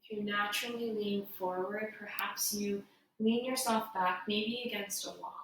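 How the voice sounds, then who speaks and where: A woman giving guided meditation instruction as a yoga teacher, in a gentle, unhurried, soothing way. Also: medium pitch, steady tone. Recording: echoey room, distant mic, faint noise.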